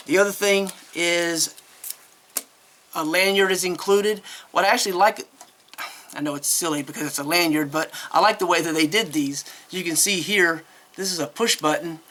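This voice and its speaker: Guy doing a casual product review, kinda high really bright voice, chatty and rambly, upfront about stuff. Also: a little hiss or hum.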